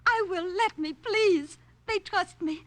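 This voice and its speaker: A woman playing an excitable, pleading young French woman with a French accent in an old radio drama. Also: very high-pitched, takes it slowly, heavily acted. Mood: eager, pleading. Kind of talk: 1950s radio drama acting. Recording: old 1950 radio broadcast recording.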